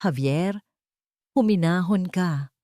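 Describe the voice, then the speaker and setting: A woman's voice: lowish voice, slow pace, dark tone, no room sound, studio quality. A woman voicing a serious, authoritative brother in an audio story, grave and commanding. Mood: calming.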